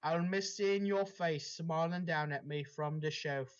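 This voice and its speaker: A man reading the part of a sweet, sad old shopkeeper in a slow, tender way, in a story read aloud over lo-fi audio. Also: on the high side, clean tone, close to an everyday voice.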